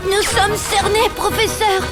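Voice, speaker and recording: A woman voicing an excitable young boy apprentice in a video game. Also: extremely high pitch, unhurried, over loud music. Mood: panicked. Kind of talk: video game cutscene voice acting.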